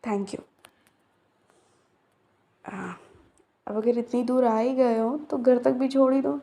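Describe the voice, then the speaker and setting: A woman's voice: a little high, steady tone, slow, vocal fry, mellow. A young woman acting out a romance roleplay to a silent listener, teasing and chatty.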